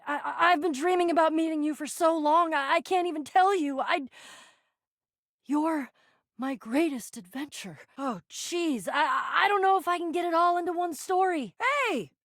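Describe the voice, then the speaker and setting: A woman voicing an excitable, bragging adventurer in a video game, earnest and theatrical, often stammering. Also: high voice, normal speed, no room sound, clean studio sound. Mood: awed, shy. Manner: stammering, theatrical, swinging from gushing to sulky. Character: excitable, bragging adventurer, a living household object, earnest and dramatic. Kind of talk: video game character voice acting.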